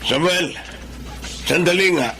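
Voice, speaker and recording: A man's voice: fairly high pitch, takes it slowly, music in the background, rough recording. An actor playing a stern father who scolds his grown son, in a radio-style drama.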